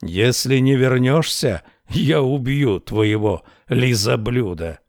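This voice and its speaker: A man reading a storybook voices a fickle, jealous king who pleads softly and then threatens, in slow, low, hushed tones. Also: no echo, clean studio sound. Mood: threatening.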